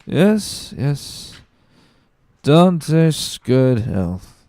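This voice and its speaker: A man voicing a drunken, loyal and stubborn tailor in slurred, drawn-out speech for a dramatic book reading. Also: mid-range voice, very animated, mellow, no echo, studio quality, pitched above the performer's own voice, exaggerated voice. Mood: maudlin toasting.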